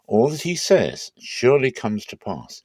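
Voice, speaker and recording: A man's voice: mid-range voice, huge pitch swings, a little slow, a little mellow, dry recording, pitched above the performer's own voice. A man voicing a helpful, respectful servant who advises deferentially in a scripture reading.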